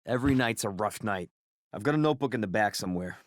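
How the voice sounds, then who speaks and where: An actor playing a gruff, irritable, foul-mouthed drug dealer in a video game, giving curt replies and orders. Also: male voice, fairly low pitch, lively intonation, fast talker, close to an everyday voice. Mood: surly, commanding.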